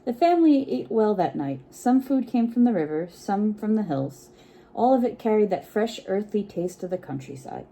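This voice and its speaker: A woman reading a picture book aloud to children in an expressive, encouraging way, with chatty asides and frequent check-in questions. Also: mid-range voice, normal speed, poor audio. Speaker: children's storytime reader.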